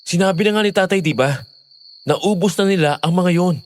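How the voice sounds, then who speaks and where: A man's voice: sits high, fairly quick, natural voice. A man playing an earnest, protective, skeptical young boyfriend in a Filipino horror audio drama. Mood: pleading, defensive. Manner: pleading, reassuring.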